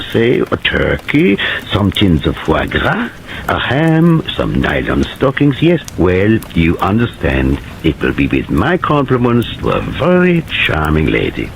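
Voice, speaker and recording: A man's voice: low-pitched, huge pitch swings, takes it slowly, gravelly, slight background noise, exaggerated voice, poor audio. A man voicing a flamboyant, sly older man who talks in a florid, theatrical way.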